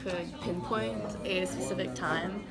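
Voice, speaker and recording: Quiet gravelly woman searching for words. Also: lowish voice, steady tone, measured pace. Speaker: interviewee. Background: music.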